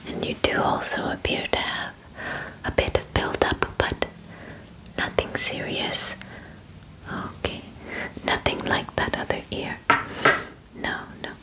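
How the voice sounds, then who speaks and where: A gentle female alien examiner, played by a young woman, speaks in a soft whisper close to the mic. She takes her time, with slow, formal, soothing phrasing and a calm clinical manner suited to an ASMR personal attention roleplay. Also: natural voice, poor audio. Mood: gentle, reassuring. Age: young adult. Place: bedroom set, indoors. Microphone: close ASMR microphone.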